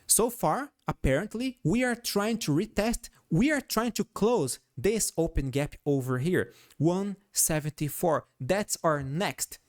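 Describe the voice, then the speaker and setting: Brazilian guy with a portuguese accent doing chart commentary, voice bounces around a lot, kinda high, keeps saying "all right?". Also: measured pace, hardly any pauses. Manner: animated, repeats and restarts phrases, frequent "all right?" tag. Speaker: host. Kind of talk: stock chart analysis commentary.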